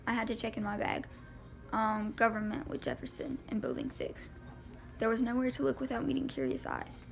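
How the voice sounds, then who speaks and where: Woman reading a novel, very creaky voice. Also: on the high side, sing-song, a little fast, soft background music. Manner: informal reading that stumbles over words. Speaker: amateur audiobook reader. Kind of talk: reading a first-person novel aloud.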